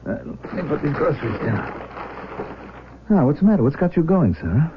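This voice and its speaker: A man's voice: on the high side, very animated, fairly quick, highs cut off. A man playing an affectionate, concerned husband in a 1970s radio drama. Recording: band-limited 1970s radio, faint hiss.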